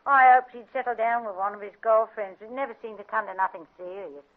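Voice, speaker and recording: A woman's voice: on the high side, fairly quick, narrow, tinny audio, lightly acted. A woman playing a disapproving working-class older English housewife, colloquial with double negatives and tag questions, in a radio drama. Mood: gossipy, disapproving. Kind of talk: British radio drama acting. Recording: thin, band-limited old radio drama.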